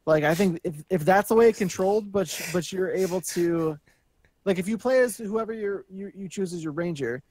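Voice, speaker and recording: A man's voice: high voice, brisk, a little mellow, no echo, poor audio. A man co-hosting a casual gaming chat, talking in a blunt, informal way. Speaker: show co-host. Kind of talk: casual video game panel chat.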